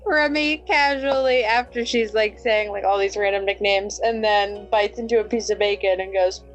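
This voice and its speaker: A woman's voice: mid-range voice, steady tone, moderate pace. A woman playing in a tabletop roleplaying game, casually narrating her character in a sassy, playful way. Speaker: player in a tabletop roleplaying podcast. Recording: dull recording with music underneath. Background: music.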